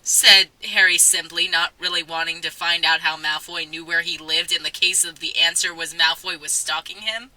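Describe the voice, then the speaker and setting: A woman's voice: lowish voice, steady tone, normal speed, hardly any pauses, bright, forceful tone, no echo, rough recording. A woman narrating a comic dramatic story reading in a playful, mock-dramatic way, often stumbling over her lines.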